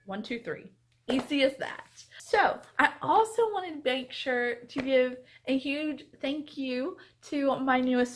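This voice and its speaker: A woman book vlogger chatting casually and reading aloud in an animated, expressive way. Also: high voice.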